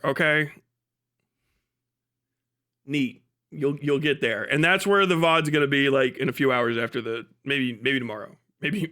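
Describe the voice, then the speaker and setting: A male streamer with a high, bright, forceful voice. He talks casually to his viewers in an animated, mocking way and peppers his rambling with profanity. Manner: animated, mocking, swears freely. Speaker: live streamer. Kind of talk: casual livestream chat with profane ranting.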